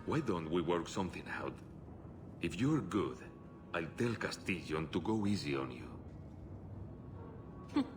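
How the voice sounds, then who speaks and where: A man's voice: low-pitched, very animated, slow pace, pauses often, music in the background, exaggerated voice. An actor playing a menacing, lecherous criminal henchman in a video game, with a domineering, leering manner. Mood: smug, leering.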